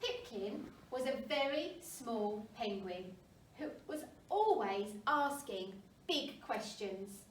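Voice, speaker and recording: A woman reading a children's storybook aloud with expressive narration, as a primary school story reader. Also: a little high, slow pace. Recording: roomy, distant mic, faint noise.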